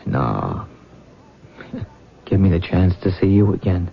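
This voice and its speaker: An actor playing an intense, insistent young soldier and jilted suitor in a radio drama, with a husky, gravelly voice. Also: male voice, sits low, sing-song, slow, heavily acted. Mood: brooding, determined. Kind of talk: old-time radio drama acting. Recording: dull, lo-fi radio recording.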